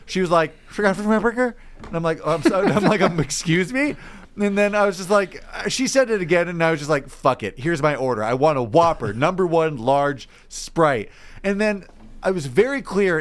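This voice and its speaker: An American man chatting casually on a comedy podcast. His pitch swings widely as he tells stories and acts out the remarks, with some offhand swearing thrown in. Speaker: podcast co-host.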